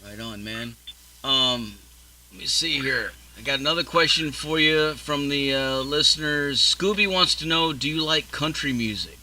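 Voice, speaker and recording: A man in his early forties hosts a relaxed interview, his voice very bright and forward while his sentences often fade off. A faint hum sits under the recording. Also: medium pitch, moderate pace, low-quality recording. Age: 40s. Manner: easygoing, swears casually, trails off quietly. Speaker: podcast host. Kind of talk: casual interview chat.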